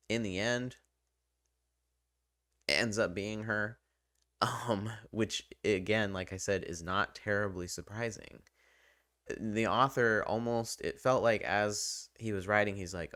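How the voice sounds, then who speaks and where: A man talking casually and unscripted as a game reviewer, halting and drifting into asides. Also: sits low, fairly bright tone, no echo, studio quality. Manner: halting, conversational, trailing into asides. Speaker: video game reviewer and channel host.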